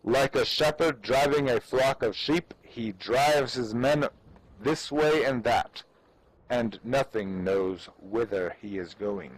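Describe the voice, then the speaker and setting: A man giving a solemn, deliberate dramatic reading of an old treatise in a declamatory manner. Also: mid-range voice, low-quality recording. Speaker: reader performing a dramatic reading.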